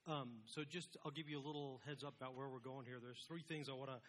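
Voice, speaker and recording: A man's voice: medium pitch, fairly even intonation, quick pace, a bit bright, slight background noise. A man preaching an informal, conversational sermon as a pastor, with a casual, self-deprecating manner.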